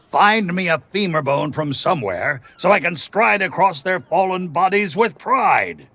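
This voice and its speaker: An actor voicing a boastful, villainous demonic talking skull in a video game, theatrical and grandiose in manner. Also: male voice, sits high, lively intonation, a little slow, pushed and bright, slight background noise, exaggerated voice. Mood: pompous, commanding. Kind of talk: video game character acting.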